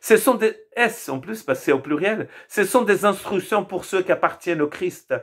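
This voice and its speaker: A man whose first language is Portuguese gives a daily Bible devotional as a pastor, earnest and preacherly, slowing down to stress key words. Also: high-pitched, expressive, a bit bright, husky.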